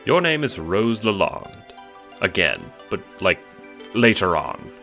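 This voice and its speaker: A man with a deep voice narrates a scripted audio drama in the second person. Music plays clearly behind him. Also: sing-song, takes it slowly. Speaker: narrator and writer.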